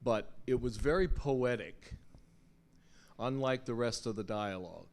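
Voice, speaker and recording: A man with a medium-pitched voice comments from the audience. He offers critique as a fellow actor would, in casual, conversational speech. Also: measured pace, a little mellow. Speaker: audience member and fellow actor giving feedback.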